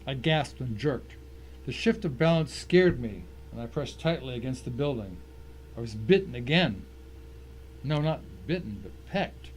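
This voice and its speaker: A man's voice: medium pitch, expressive, measured pace, poor audio. A man giving a dramatic first-person reading of a short story as its narrator. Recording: faint noise and ambience behind.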